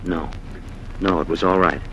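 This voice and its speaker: An actor playing a terse, insistent, scheming nephew in a 1940s radio drama, on a vintage recording. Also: male voice, deep voice, steady tone, normal speed, very noisy, close to an everyday voice. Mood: grim, insistent. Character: cold, resolute scheming nephew, impatient with doubt.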